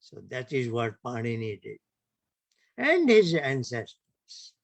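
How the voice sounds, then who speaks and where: Slow, emphatic Indian English man lecturing. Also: medium pitch, huge pitch swings, vocal fry, no echo. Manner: emphatic, asks rhetorical tag questions. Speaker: lecturer at an online heritage camp. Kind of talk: online lecture.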